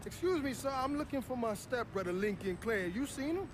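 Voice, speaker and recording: A man playing a brash, joking young American crook who teases loudly and swears freely in a game cutscene. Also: very high voice, animated, brisk, background noise. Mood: teasing. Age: young adult. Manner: teasing, loud, profane.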